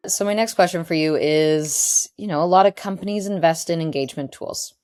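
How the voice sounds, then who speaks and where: A woman's voice: deep voice, brisk, darkish tone, dry recording, studio quality. A woman hosting a podcast interview, talking casually with filler words. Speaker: podcast host. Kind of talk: conversational interview.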